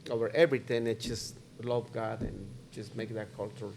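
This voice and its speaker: A middle-aged man pastor answers as an interview guest in short, simple phrases, repeating words to stress a point. His voice is on the low side. A faint hum sits in the background. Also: little pitch movement, normal speed, vocal fry, darkish tone. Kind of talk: casual conversational interview.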